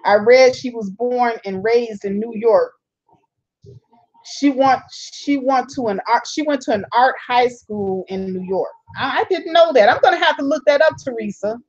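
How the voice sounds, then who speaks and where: A woman's voice: a little high, moderate pace, a little mellow, dull audio. A woman hosting a casual live stream. She reads viewer comments aloud, answers them, and laughs often. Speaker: channel host reading live chat.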